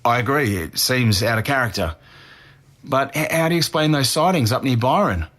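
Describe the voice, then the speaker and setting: A man's voice: mid-range voice, animated, moderate pace, fairly bright tone, lightly acted. Actor as young aussie guy in his 20s, defensive, earnest, slangy, like hes being interviewed as a suspect. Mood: casual, reminiscing. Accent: Australian. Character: defensive young Australian man, a murder suspect giving an interview. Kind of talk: naturalistic audio drama acting as an interview.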